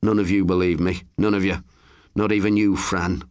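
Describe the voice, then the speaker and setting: A man's voice: sits low, flat delivery, normal speed, no room sound, clean studio sound, natural voice. A man voicing a resentful grown man who scoffs and growls in a storybook reading. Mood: bitter. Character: bitter, obsessed grown man.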